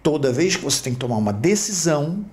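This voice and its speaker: A middle-aged man from Rio with a Brazilian Portuguese accent, a podcast host telling his life story in a reflective, halting way. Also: fairly high pitch, big pitch swings, a little mellow. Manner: reflective, halting with repeated restarts. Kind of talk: personal storytelling.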